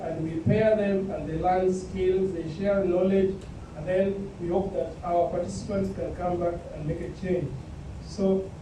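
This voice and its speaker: A man from Kenya speaking on a conference panel, explaining his organization's work in a measured way with a few fillers. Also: high-pitched, background noise. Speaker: panelist from a public ICT organization. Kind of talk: panel talk. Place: conference hall. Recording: echoey hall, far from the mic.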